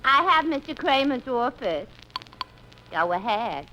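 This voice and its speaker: A woman's voice: on the high side, sing-song, unhurried. A woman acting in a 1950s radio comedy as a wisecracking, unimpressed telephone operator with a sassy, dry manner. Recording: noisy old radio broadcast.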